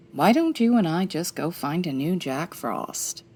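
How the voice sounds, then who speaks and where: A woman playing a brisk, wry, no-nonsense 1940s detective in a comedic old-time radio style. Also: sits low, lively intonation, breathy edge, exaggerated voice.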